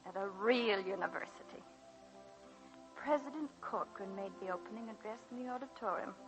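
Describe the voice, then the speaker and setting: An actress playing a warm, eager small-town schoolteacher in a 1941 radio drama. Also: female voice, mid-range voice, lo-fi sound, lightly acted. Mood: nostalgic. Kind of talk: 1940s radio drama acting. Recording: 1941 radio broadcast recording.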